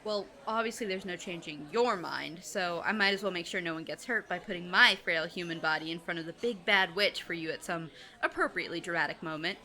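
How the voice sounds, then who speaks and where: A woman's voice: fairly low pitch, brisk, hardly any pauses, pushed and bright. A woman playing a sensible, dryly sarcastic teenage girl, the reluctantly loyal friend in an audio drama. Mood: resigned, teasing.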